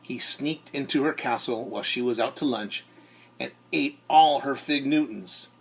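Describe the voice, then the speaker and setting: A man who is a teacher reads a storybook aloud to children in an expressive way, often turning to ask his listeners questions. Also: medium pitch, normal speed, gravelly, slightly rough, low-quality recording. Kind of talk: animated storybook read-aloud with asides to young listeners.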